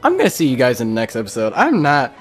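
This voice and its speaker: A man's voice: mid-range voice, huge pitch swings, fast talker. A young man giving casual, rambling let's play commentary with an exasperated streak. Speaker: gaming video host. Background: game music.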